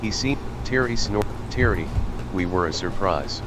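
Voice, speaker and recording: A low male voice delivers scripted narration, reading out character names, dialogue and descriptions in turn. Loud music plays behind him throughout. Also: lively intonation, moderate pace. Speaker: narrator reading visual novel text aloud.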